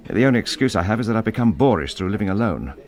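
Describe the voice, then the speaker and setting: An actor playing a brooding, brusque middle-aged widowed gentleman in a radio drama, with a clipped, commanding, well-bred manner. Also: on the low side, expressive, racing, lightly acted. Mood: courteous, contrite.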